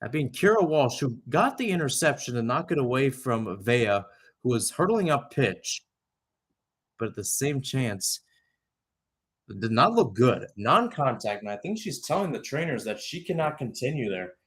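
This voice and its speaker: A man's voice: medium pitch, very animated, normal speed, slightly rough. A young man giving live radio-style soccer play-by-play, drifting into conversational, rambling asides and correcting himself as he goes. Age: young adult. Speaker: play-by-play commentator.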